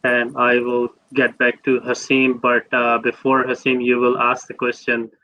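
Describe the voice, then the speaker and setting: A man's voice: mid-range voice, monotone, moderate pace. A man hosting a panel, briefly and politely handing the floor to each guest over a remote call. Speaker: moderator, host. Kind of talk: moderating a panel. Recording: dull lo-fi audio with faint noise.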